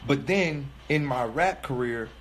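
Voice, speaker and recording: A man's voice: medium pitch, expressive, unhurried, slight background noise. A man in his thirties with a cold, sniffling a little as he thinks aloud in a casual livestream monologue, loose and rambling with many fillers and restarts. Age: 30s. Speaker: rapper streaming live.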